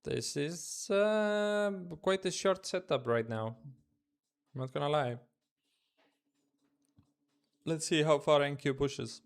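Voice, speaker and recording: A man's voice: fairly high pitch, takes it slowly, pauses often, clean tone. A man with an Estonian accent, a native Estonian speaker, chatting casually in loose English on a live trading stream from his room, thinking aloud and answering chat. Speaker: day trader streaming live.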